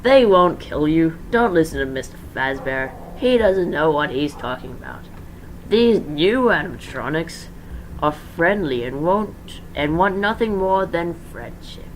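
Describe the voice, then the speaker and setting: A man's voice: on the high side, lively intonation, unhurried, a little hiss or hum, low-quality recording. A man voicing a scheming businessman villain who talks in a falsely reassuring way, in a fan-story reading. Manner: smooth-talking, falsely soothing.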